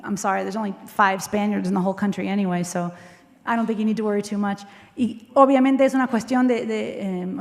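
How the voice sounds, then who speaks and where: A woman speaker answering audience questions after a talk, candid and jokey, mixing Spanish and English. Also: mid-range voice. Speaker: guest speaker. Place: lecture hall. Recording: long hall reverb.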